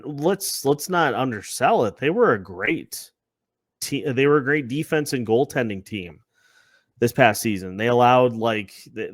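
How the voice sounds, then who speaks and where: A man's voice: medium pitch, no echo, studio quality. A man hosting a sports podcast in a conversational way, repeating phrases as he builds his points. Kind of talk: conversational sports discussion with scripted-sounding intro.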